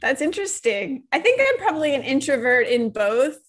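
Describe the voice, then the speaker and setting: A woman hosting a casual interview, talking loosely with many fillers and quick laughs. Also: high voice, sing-song. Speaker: host, interviewer.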